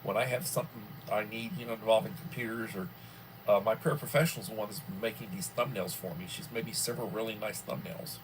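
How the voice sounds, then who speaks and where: A man in his early sixties hosting a casual livestream from home, rambling and filling pauses often. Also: on the low side, fairly even intonation, background noise. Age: 60s. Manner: rambling, with many fillers. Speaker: livestream host.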